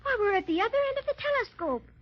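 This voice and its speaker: A woman's voice: very high voice, big pitch swings, fast, soft background music. A woman playing a sweet, impatient little girl in a 1930s children's radio drama, pleading and marveling like a child. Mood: eager, wondering. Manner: breathless childlike pleading and wonder. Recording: dull 1930s radio transcription.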